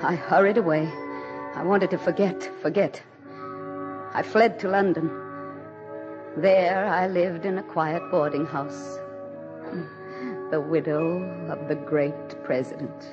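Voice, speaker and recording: A haunted, fragile old widow in a 1940s radio play, speaking in a lowish voice with slow, drawn-out, emotional phrasing. She sounds wistful and weary, on a dull vintage recording with music behind her. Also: steady tone. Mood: weary, reflective. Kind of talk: 1940s radio drama acting and narration.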